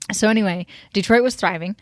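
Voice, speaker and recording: A woman co-hosting a podcast in casual chat, talkative and quick to laugh, with blunt swearing. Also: medium pitch, darkish tone, dry recording, studio quality. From Michigan, US. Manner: chatty, swearing, laughs often. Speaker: podcast co-host.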